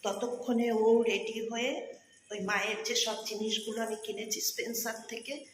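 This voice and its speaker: Female daily vlogger, slightly high pitch, informal on-the-go chatter, noisy handheld sound. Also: little pitch movement, normal speed. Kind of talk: casual vlog chat. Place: out and about in streets and shops. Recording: noisy handheld vlog audio.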